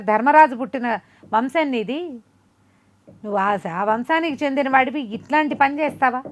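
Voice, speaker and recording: A woman's voice: on the high side, close to an everyday voice. A woman storyteller voicing a reproachful wise king in a folk tale, admonishing in an oratorical way. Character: reproachful wise king appealing to royal lineage.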